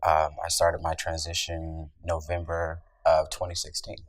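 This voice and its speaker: A man from Georgia introduces himself quietly and plainly. His voice is low and stays flat in pitch. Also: measured pace, dry recording. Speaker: panel guest.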